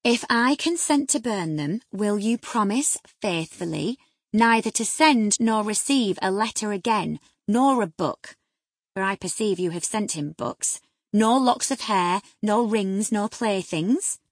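A woman's voice: mid-range voice, expressive, unhurried, husky, dry recording. A woman reading aloud, voicing a stern housekeeper who scolds with scorn and severity. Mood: scornful.

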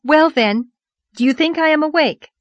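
A high, swooping voice for a sweet young schoolteacher in a storybook , acted by a woman narrator. She is dreamy, easily flustered and earnest, with breathless exclamations, in a close dry recording. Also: animated, unhurried, no echo, highs cut off. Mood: excited. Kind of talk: audiobook character voice.

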